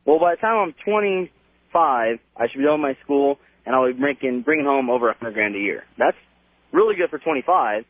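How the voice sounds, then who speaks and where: A man's voice: mid-range voice, expressive, brisk, dry recording. A man in his early twenties with an American accent, chatting casually as a caller on a radio show. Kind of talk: casual phone-in chat. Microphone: telephone. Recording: tinny phone line on radio.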